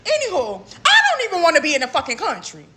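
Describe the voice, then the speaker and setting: American woman, really high bright voice, cussing and venting, blunt. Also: wildly swooping pitch, fast. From United States. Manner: blunt, profane, exasperated. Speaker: social media commentator. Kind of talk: casual ranting monologue.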